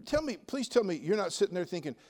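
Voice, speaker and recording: A man preaching a sermon in a folksy, emphatic manner, swinging between loud exhortation and quieter joking asides. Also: sits high, big pitch swings, fast. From United States. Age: 70s. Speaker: Baptist preacher.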